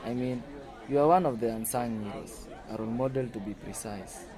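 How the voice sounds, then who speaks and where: A man's voice: medium pitch, brisk, crowd noise, natural voice. A man playing an earnest, smitten employee in an amateur radio drama. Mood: friendly, admiring. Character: earnest smitten male employee comforting his boss.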